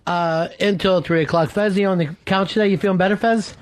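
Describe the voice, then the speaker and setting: Teasing American radio host, rambling banter. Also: male voice, sits high, fairly even intonation, a little fast. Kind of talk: casual radio chat.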